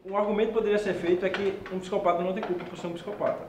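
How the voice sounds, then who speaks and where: A man in his twenties, a law student on a debate panel, speaking in a measured way and weighing both sides. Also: sits high, level tone, reverberant, background noise. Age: 20s.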